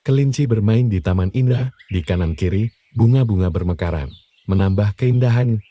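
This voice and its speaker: Male bedtime-story narrator, low and very soft-edged, slightly husky. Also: moderate pace.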